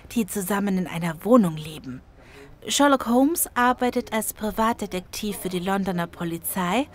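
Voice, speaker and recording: Actress as keen, busy female interviewee, middle register, clear explanatory delivery in scripted learner dialogue. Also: mid-range voice, lightly acted. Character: busy female passer-by, keen fan of detective series. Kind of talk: scripted language-lesson dialogue.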